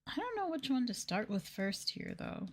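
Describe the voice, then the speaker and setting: Female ASMR streamer: hushed, gentle chat that drifts between soft voice and whisper, close-miked. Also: a little high, very animated, normal speed. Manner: gentle hushed delivery, often slipping into whisper. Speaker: streamer host of an ASMR livestream. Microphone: close microphone for headphone listening.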